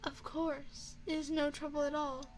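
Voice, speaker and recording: A woman voicing a courteous female vampire chef and restaurant owner in an ASMR roleplay, speaking in a formal, measured, refined way. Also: sits high, flat delivery, soft background music. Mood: gracious, attentive. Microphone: binaural microphone.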